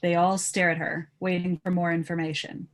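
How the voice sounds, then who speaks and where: A woman's voice: fairly low pitch, level tone, normal speed. A woman reading stage directions as narrator in a casual live table read.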